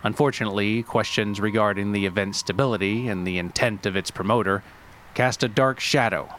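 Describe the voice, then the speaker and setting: A man reading scripted documentary-style narration in a formal, deadpan manner. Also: fairly low pitch, a little fast, a little hiss or hum. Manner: deadpan, formal delivery of absurd history. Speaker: narrator and writer of a story podcast.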